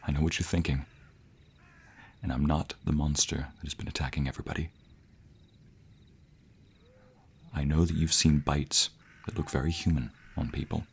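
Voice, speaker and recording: Man acting a very deep-voiced, earnest vampire boyfriend. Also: fairly even intonation, brisk, full character voice. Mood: urgent, pleading. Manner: earnest, hesitant, pleading, often breathy and hushed. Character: devoted young male vampire boyfriend, secretive, protective. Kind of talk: intimate romantic audio roleplay.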